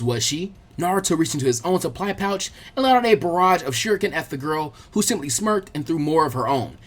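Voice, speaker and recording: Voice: medium pitch, slightly rough. A narrator reading an action story aloud as a dramatic, scripted audiobook. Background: background music.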